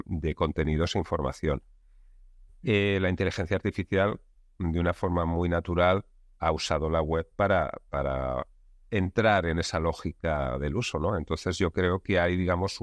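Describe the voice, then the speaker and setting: Low-voiced man explaining calmly. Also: dry recording, clean studio sound. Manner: measured, explanatory, leans on filler words like digamos. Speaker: guest expert. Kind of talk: conversational interview.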